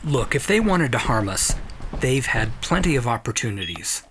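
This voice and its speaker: A man's voice: medium pitch, big pitch swings, moderate pace, a bit bright, slight background noise, voice pushed up. A man playing an anxious, curious scientist in a sci-fi audio drama, hesitant and intense. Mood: stubborn curiosity. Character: awed, anxious male scientist, curious and stubborn. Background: prominent dramatic music.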